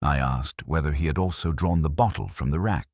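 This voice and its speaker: Very deep male detective-story narrator. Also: a little mellow, no echo, studio quality. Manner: measured, literary, reflective. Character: ageing male detective, melancholy, contemplative, world-weary. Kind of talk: scripted first-person audio drama narration of a detective mystery.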